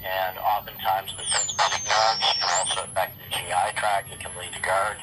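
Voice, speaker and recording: A man's voice: low-pitched, flat delivery, normal speed. A man giving a conversational medical explanation as a health expert, heard through a vintage radio. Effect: played through a vintage radio set. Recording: old radio speaker, music and hum behind.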